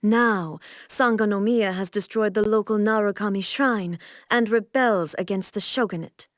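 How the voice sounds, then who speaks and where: A woman's voice: medium pitch, no echo, studio quality, natural voice. A woman playing a stern, regal deity ruler in a video game, speaking in a formal, composed, stately way. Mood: solemn, commanding. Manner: formal, stately, measured delivery. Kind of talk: scripted video game character voice lines.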